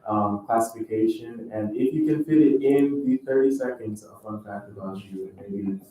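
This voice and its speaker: A young man with a fairly low voice hosts a student gathering, politely giving instructions. He sounds distant in a very echoey room. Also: moderate pace, mellow, a little hiss or hum. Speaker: student event host. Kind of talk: informal event announcing to a group. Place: large indoor room at a student event.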